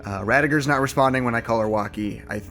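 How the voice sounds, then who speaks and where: A nervous, wary facility worker with a middling-pitched voice and very quick speech. He sounds urgent yet hesitant, in naturalistic audio drama dialogue. There is faint music underneath. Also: bright, forceful tone. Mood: worried. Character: nervous, wary male colleague in a troubled facility.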